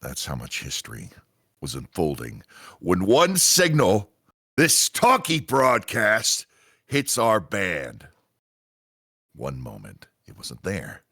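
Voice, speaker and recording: A man playing a grave commanding agent in a sci-fi radio drama, briefing with deliberate, dramatic emphasis and pauses. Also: low voice, huge pitch swings, bright, forceful tone, slightly rough, no room sound, clean studio sound, full character voice. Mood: ominous, hushed. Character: grave male commanding agent of a multiverse agency.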